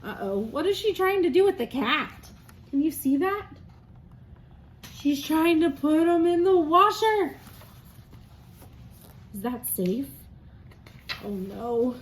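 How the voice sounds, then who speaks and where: A woman reads aloud to young children as a teacher, in a sing-song, expressive way, pausing to prompt answers from her listeners. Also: sits high.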